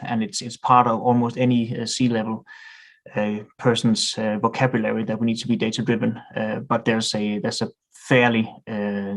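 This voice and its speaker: A middle-aged man speaking English with a Danish accent, his delivery level and flat in pitch. He talks thoughtfully, pausing on fillers and restarting phrases as he goes. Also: low-quality recording. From Denmark. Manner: thoughtful, frequent hesitations and restarts. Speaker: guest. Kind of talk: podcast interview.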